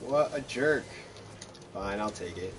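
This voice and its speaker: A man's voice: fairly low pitch, animated, slow, a bit bright, slight background noise. A man giving casual, wry, mildly sarcastic commentary as he plays through a game. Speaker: gamer narrating own playthrough.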